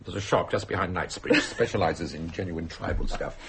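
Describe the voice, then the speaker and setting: A teasing British socialist journalist in a radio play. His low voice has some gravel in it, and he talks in wordy, ironic banter. The recording carries some background noise. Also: a little fast, fairly bright tone. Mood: wry, assured. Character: Dan, wry, well-heeled socialist journalist, teasing and curious.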